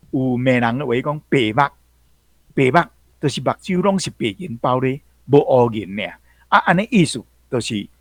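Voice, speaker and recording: A man's voice: medium pitch, no room sound. Taiwanese guy explaining old sayings, emphatic, repeats stuff, dry close mic. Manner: emphatic, repeats key phrases. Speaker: language commentator. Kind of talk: explanatory talk on folk sayings.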